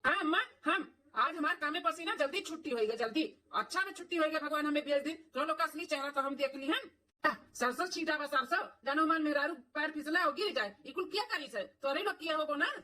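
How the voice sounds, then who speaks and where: A woman voicing an aggrieved, high-pitched Awadhi village husband. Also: fast talker, pushed and bright, recorded from a distance. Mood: indignant. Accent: Awadhi, eastern Uttar Pradesh. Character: aggrieved village son, adult man, protective of his wife. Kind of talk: comedy cartoon family drama voice acting.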